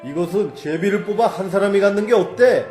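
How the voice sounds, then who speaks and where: Bible drama for children: male actor as goading, jeering accuser, high-pitched declamation in an echoey space. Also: brisk, darkish tone, faint music behind, lightly acted. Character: harsh, jeering accuser and mocking soldier of the mob.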